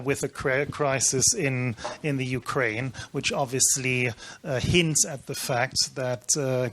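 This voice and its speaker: A man's voice: mid-range voice, a bit bright. Guy moderating a panel, long winded questions, bit of faint hiss behind him. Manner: wordy, framing long questions.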